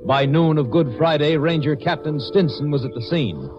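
An actor playing a solemn narrator, reading scripted narration in an old radio crime drama. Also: male voice, fairly high pitch, moderate pace, natural voice. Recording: muffled old radio recording. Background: prominent music.

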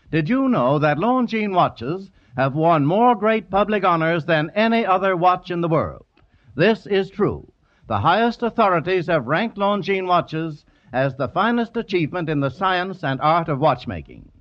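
A man's voice: high voice, very animated, unhurried. An American man reading a scripted mid-century radio advertisement as announcer, with formal, polished and emphatic phrasing. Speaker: commercial announcer. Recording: dull old radio broadcast.

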